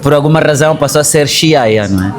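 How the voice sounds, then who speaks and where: A man's voice: on the high side, expressive, fast, crowd noise. A man from Angola with an Angolan accent, speaking as an interview guest in an explanatory way, often rephrasing himself. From Angola, Bié. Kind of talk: conversational political interview.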